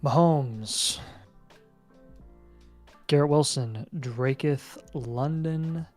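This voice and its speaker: A man in a casual livestream runs through his advice in brisk, clipped phrases. His voice sits at an ordinary middle pitch. Faint music hums behind him. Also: darkish tone. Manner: brisk, listing terse advice. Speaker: co-host.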